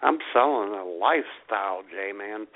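Animated, gravelly male co-host. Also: fairly low pitch, measured pace, slight background noise. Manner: acts out customer exchanges as he talks. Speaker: talk show co-host. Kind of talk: casual comic storytelling. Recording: thin phone-like lo-fi sound.

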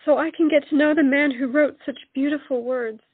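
Woman playing earnest high-voiced young lady Roxane, muffled. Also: steady tone, brisk, no echo, lightly acted. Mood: hesitant, tender. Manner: pleading, earnest. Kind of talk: romantic audio drama acting. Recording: muffled lo-fi audio, music underneath.

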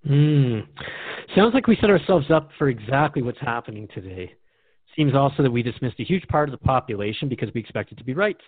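Casting: earnest male radio host, mid-pitched, conversational and thoughtful, close dry sound through a narrow telephone band. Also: expressive, a little fast, dry recording. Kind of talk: conversational radio drama dialogue.

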